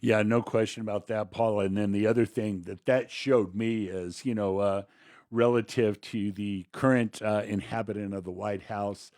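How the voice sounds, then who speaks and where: A man's voice: lowish voice, fairly even intonation, normal speed, darkish tone. A man co-hosting a podcast, giving informal, conversational political commentary in loosely strung phrases. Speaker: podcast co-host.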